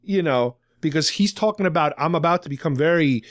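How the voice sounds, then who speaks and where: A man with a slightly high voice hosts a podcast, casually retelling a story. He explains things in a rambling, conversational way, and the sound is dry with no room around it. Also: expressive, a little fast, no room sound. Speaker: podcast host. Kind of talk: casual talk recapping a radio drama.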